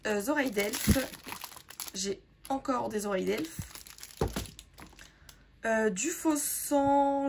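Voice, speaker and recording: Hoarse young woman chatting casually. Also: fairly high pitch, slight background noise. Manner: chatty, rambling, lots of fillers. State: hoarse, sore throat. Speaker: makeup artist vlogger. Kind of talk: casual sorting vlog, talking to viewers.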